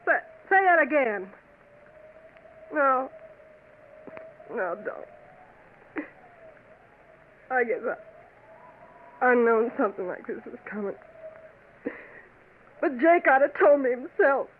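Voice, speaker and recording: A woman's voice: high voice, expressive, slow, long pauses, slight background noise. An actress playing a brash, slangy, hard-luck gambling woman in a vintage radio drama. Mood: hurt, bitter. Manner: slangy, tough-talking. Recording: tinny vintage radio, crowd behind.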